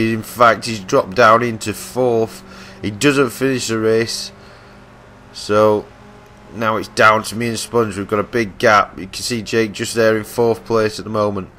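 Hoarse, croaky man commentating a sim race. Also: mid-range voice, a bit bright, a little hiss or hum. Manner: chatty, rambling with restarts. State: hoarse, losing his voice. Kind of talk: live gameplay commentary.

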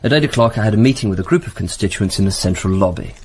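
A man's voice: lowish voice, lively intonation, racing, darkish tone, natural voice. An English actor in a radio drama playing a self-important male politician with a clipped, defensive manner. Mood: curt, guarded.

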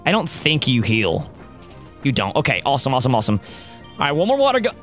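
A young man with a worn, raspy voice commenting on his own gameplay, close to a studio mic at a home setup and cheering on his team loudly. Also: mid-range voice, huge pitch swings, quick pace. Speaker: gaming YouTuber. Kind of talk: Let's Play commentary. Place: home gaming setup, indoors. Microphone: studio mic close up, seen. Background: faint game music.

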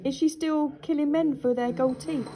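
A woman ghost hunter, coaxing a spirit in a dark church at night and repeating her questions. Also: sits high, fairly even intonation, normal speed, background noise. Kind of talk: calling out to a spirit.

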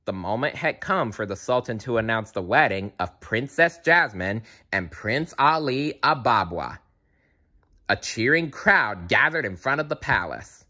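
A man reading a children's storybook aloud in an animated style, with playful asides to the listener. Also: mid-range voice, a little slow. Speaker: storybook reader.

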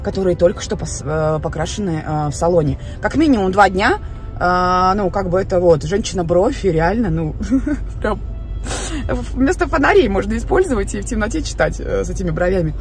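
A woman in her thirties whose first language is Latvian, chatting in a casual vlog from her car with many filler sounds and pauses. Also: mid-range voice, expressive, soft background music. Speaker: vlogger. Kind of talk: casual vlog talk to camera. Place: inside a car, then a clothing store. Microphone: phone camera held by hand.

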